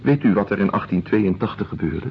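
An ailing idealist doctor in a radio play, with a low, insistent voice. He brushes off concern in a declamatory way, on a dull recording with music behind. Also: expressive, brisk, vocal fry. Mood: dismissive, defensive. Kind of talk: radio drama acting.

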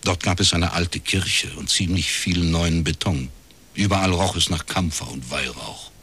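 An actor playing a laconic private detective in a radio crime drama, with a husky voice and a measured, dry way of telling things. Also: male voice, sits low, pushed and bright, full character voice. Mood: dry, reflective. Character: laconic male East Berlin academic turned private detective.

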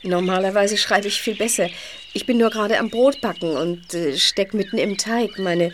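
A woman's voice: mid-range voice, normal speed, slight background noise, natural voice. A woman playing a flustered, apologetic woman of the house in a German audio drama, eager to please.

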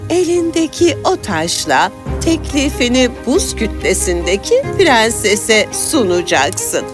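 A woman playing a wise old aunt who explains a magical quest in an expressive fairy-tale cartoon. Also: on the high side, takes it slowly, music in the background. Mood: solemn. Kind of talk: animated fairy tale acting.

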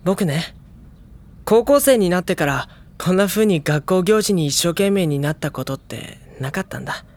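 An actor playing a gentle, earnest high school boy who speaks and narrates sincerely in an audio drama. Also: lively intonation, moderate pace. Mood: earnest, warm.

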